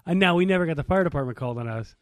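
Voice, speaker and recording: A man's voice: fairly high pitch, expressive, very fast, no echo. A man co-hosting a podcast with casual banter, talking in a blunt, informal way. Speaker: podcast co-host.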